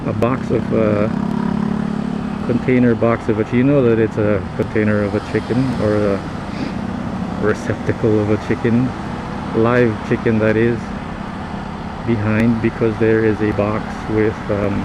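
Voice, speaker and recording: A man from the Philippines, a native Tagalog speaker, rambles casually and reflectively in halting phrases as a moto vlogger riding with a lav mic on his helmet. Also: fairly low pitch, level tone. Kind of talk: casual rambling vlog monologue. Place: outdoors, riding a motorcycle in traffic. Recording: helmet lav mic, loud traffic noise. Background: motorbike engine and road traffic noise.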